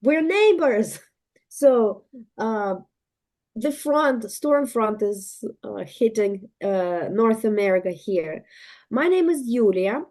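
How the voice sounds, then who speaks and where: Woman from ukraine on a video call, slowish, bit high, lots of uhs. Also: lively intonation, a little mellow. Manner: eager, hesitant fillers. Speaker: student. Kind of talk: class introduction.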